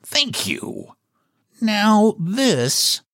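A man's voice: sits high, very animated, extremely slow, pushed and bright, no echo, clean studio sound, voice pushed up, exaggerated voice. A man voicing a short, fat, shabby book agent in a storybook, sly and mock-polite with a mysterious, pompous manner. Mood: slyly courteous. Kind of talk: storybook character voice.

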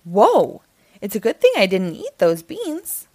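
A woman's voice: mid-range voice, wildly swooping pitch, measured pace, dark tone, breathy edge, no echo, studio quality, full character voice. A woman voicing a cheeky, whiny young boy hero in a children's storybook read-aloud, complaining and answering back. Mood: excited. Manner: complaining, exclamatory back-talk.

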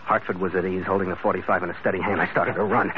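A man's voice: deep voice, flat delivery, rapid-fire, background noise, close to an everyday voice. Man acting a tough sea captain, old radio adventure. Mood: tense narration. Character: tough, wry sea captain narrating his own adventures. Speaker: lead actor narrating the story. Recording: dull old radio recording, loud music behind.

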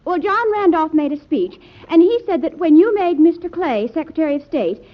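A wily young female reporter in a vintage radio play. Her voice is very high, and she is brisk, cheeky and hard to put off. The old recording is slightly dull. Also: lively intonation. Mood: brisk, probing. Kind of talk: old-time radio drama acting.

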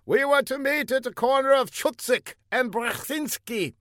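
A man's voice: extremely high pitch, moderate pace, a bit bright, no room sound, clean studio sound, voice pushed up. A man voicing a pompous, demanding older bearded secret agent who bellows in stilted, broken English.

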